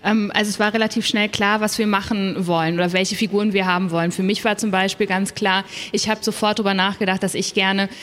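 A woman's voice: medium pitch, fairly even intonation, quick pace, hardly any pauses, a bit bright. Woman author chatting on a panel, mid voice, bit of hall reverb. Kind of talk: spontaneous panel talk.

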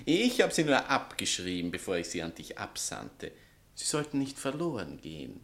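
An actor playing a vain, nervous writer and former lover in a satirical radio play, theatrical, sarcastic and easily agitated. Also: male voice, sits low, wildly swooping pitch, moderate pace, vocal fry, a bit bright, full character voice. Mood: smug.

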